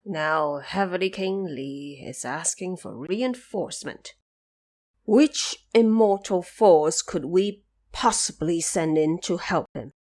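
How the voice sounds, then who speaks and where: A woman playing an imperious, indignant male ruler of heaven in an audio drama, with slow, weighty, rhetorical speech. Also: lowish voice, darkish tone. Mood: pondering.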